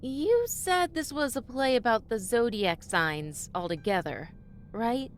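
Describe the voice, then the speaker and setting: An actress playing a determined, inquisitive devotee of a trickster god in a fantasy audio drama, speaking earnestly and directly. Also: female voice, fairly high pitch, lively intonation, unhurried, slight background noise. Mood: uneasy. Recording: music bed under the voice.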